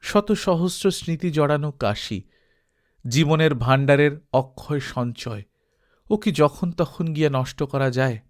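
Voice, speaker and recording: A man narrating a literary story from a script as an audiobook reader, in an expressive storytelling style. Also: a little high, unhurried.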